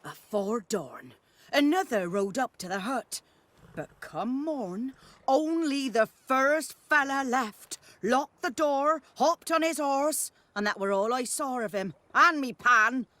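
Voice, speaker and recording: Indignant folksy old peasant woman, rural British, highish bright voice, unhurried rambling game dialogue. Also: expressive, no room sound, heavily acted. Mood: plaintive. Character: chatty, indignant old peasant granny in fantasy village. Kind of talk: video game voice acting, storytelling.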